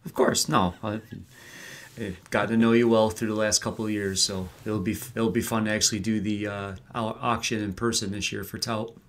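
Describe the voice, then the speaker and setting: Lower-pitched male sports analyst. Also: little pitch movement, normal speed, a bit bright. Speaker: podcast guest, sports analyst.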